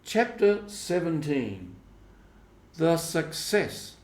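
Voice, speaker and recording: A man reading a storybook aloud as a scripted narration, in a deliberate storytelling manner. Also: a little high, very animated, a little mellow. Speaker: audiobook reader. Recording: roomy, echoing voice recording.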